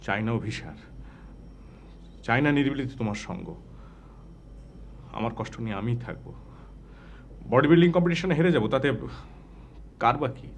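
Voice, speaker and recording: A bashful young man in love, played by an actor, speaking in a middle-pitched voice. He hesitates and stumbles over his words, then drifts into flowery, poetic talk. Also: lively intonation, moderate pace, pauses often, a little hiss or hum, natural voice. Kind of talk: TV drama acting.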